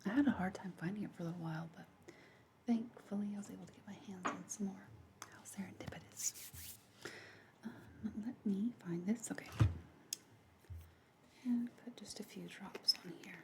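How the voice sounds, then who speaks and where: A woman whispering softly and unhurriedly in an ASMR roleplay, gently instructing the listener as a makeup artist. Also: mid-range voice, normal speed, pauses often, noisy background. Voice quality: breathy whisper.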